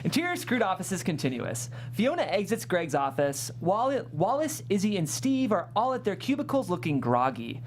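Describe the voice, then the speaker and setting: A woman's voice: mid-range voice, big pitch swings, normal speed, few pauses, a little hiss or hum. A woman hosting a script read, narrating stage directions and chatting with guests. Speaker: host and stage-direction reader.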